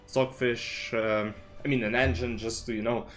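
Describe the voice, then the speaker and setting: A man's voice: medium pitch, little pitch movement, normal speed, fairly bright tone, faint music behind. Man, rambling streaming chat. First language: Russian. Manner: rambling, full of "you know" and restarts. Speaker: chess streamer. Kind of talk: casual commentary vlog. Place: home streaming desk setup. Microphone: desk streaming mic with webcam.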